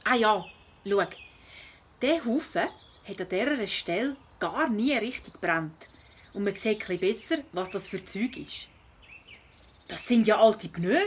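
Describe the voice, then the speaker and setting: A woman's voice: on the high side, slight background noise. A woman voicing a curious, feisty schoolgirl cat in a children's audio drama, speaking expressively with a Swiss German accent. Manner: expressive, inquisitive.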